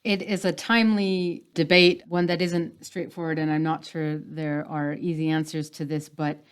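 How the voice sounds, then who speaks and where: Low-voiced woman podcast host. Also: little pitch movement, clean tone. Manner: measured, articulate. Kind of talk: podcast interview hosting.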